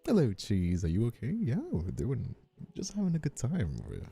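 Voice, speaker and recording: A man's voice: mid-range voice, wildly swooping pitch, normal speed, vocal fry, warm tone, breathy edge, faint music behind. A man from Brazil hosting a livestream in casual, playful chat, rambling and often repeating himself. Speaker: streamer and voice actor hosting a livestream.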